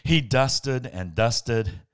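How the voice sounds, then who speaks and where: A man reading a bedtime story aloud as a podcast host, with playful dramatic pauses and casual asides. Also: mid-range voice, lively intonation, clean tone.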